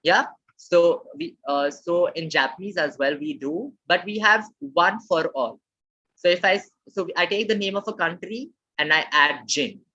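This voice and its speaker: Woman, husky voice, language teacher on a webinar, muffled lo-fi audio. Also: lowish voice, sharp tone. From Maharashtra, India. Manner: repeats key phrases slowly, keeps checking in with the chat. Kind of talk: live online lesson.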